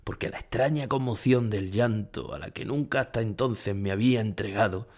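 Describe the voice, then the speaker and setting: Casting: low-voiced Spanish male actor for an older bullfighter haunted by grief, solemn first-person radio drama narration. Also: moderate pace, a little mellow, natural voice. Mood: grieving, haunted. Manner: solemn, emotional storytelling. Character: famous older bullfighter, grief-stricken, remembering his dead rival. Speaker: narrator playing a bullfighter recalling the past.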